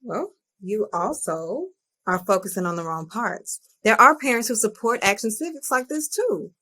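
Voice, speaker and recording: A woman's voice: medium pitch, animated, moderate pace, pushed and bright, breathy edge. An actress playing a determined, principled female teacher in a podcast drama. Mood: determined, urging.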